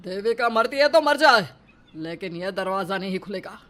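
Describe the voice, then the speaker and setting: A woman's voice: mid-range voice, animated, moderate pace, fairly bright tone, natural voice. A woman voicing a stern, scolding man of authority in a Hindi cartoon family drama. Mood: angry.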